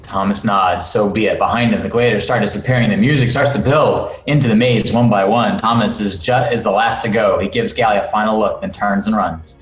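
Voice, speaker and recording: A man's voice: fairly low pitch, fairly quick, few pauses. Man reading stage directions, roomy video call. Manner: expressive, stumbles and breaks to comment. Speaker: script narrator at a table read. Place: remote video call from a room. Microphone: video call microphone.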